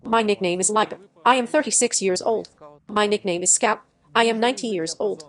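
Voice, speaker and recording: A woman's voice: mid-range voice, a little fast. Synthetic woman's dubbing voice. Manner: even, stiff phrasing with odd word choices. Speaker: AI dub voice for every speaker. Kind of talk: machine-translated voice-over dub.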